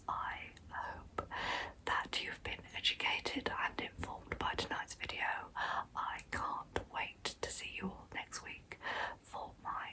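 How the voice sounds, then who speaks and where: A woman whispering a true crime story as an ASMR host, hushed throughout. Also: poor audio.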